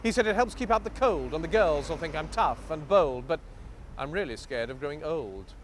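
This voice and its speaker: Male performance poet, high voice, theatrical and deliberate in rhymed verse, clear noise behind him. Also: animated. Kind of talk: reciting rhyming poetry.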